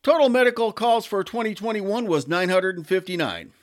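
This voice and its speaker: A man hosting a local podcast, reading scripted notes aloud in a plain, deliberate way. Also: high-pitched, animated. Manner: plain, deliberate read-aloud delivery with little ad-libbing. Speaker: local community podcast host.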